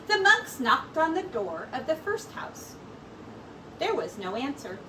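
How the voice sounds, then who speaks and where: Expressive high-voiced woman reading a story. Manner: expressive, deliberate storytelling with brief asides to listeners. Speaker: teacher. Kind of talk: reading a children's story aloud. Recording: distant mic with faint background noise.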